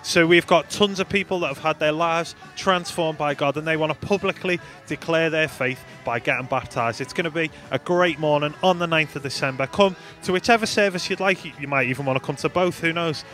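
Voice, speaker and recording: A man's voice: on the high side, fairly quick, few pauses, a bit bright, faint music behind. A young man presenting church news announcements in an upbeat, playful way, speaking into a handheld mic in a studio. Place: studio in front of a green screen.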